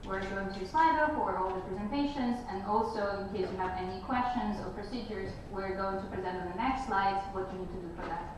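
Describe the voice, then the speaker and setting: A woman's voice: medium pitch, fairly quick, hardly any pauses. A woman from Germany chairing a student assembly, presenting informally in an upbeat way. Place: large echoing room, heard from far off. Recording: very echoey hall, distant, clear noise.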